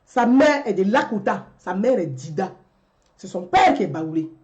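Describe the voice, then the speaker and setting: A woman's voice: medium pitch, very animated, normal speed, darkish tone, dull audio. A woman, delivering a rhetorical political commentary and repeating her questions. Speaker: commentary vlogger.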